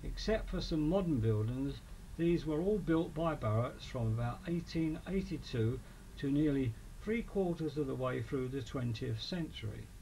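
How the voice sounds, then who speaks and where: A man with an English accent reading a scripted local history documentary in a measured manner. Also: on the high side, expressive, mellow. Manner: measured, listing names and dates. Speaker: narrator of a local history film. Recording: clearly noisy amateur voice-over recording.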